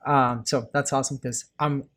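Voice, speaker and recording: A man from Peru co-hosting a podcast, excited and enthusiastic. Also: medium pitch, moderate pace. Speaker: podcast co-host. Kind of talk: casual podcast chat.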